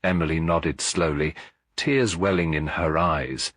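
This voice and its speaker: A man narrating a scripted detective story in a measured, expressive storytelling manner. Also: extremely low pitch, no room sound, studio quality. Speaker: audiobook narrator.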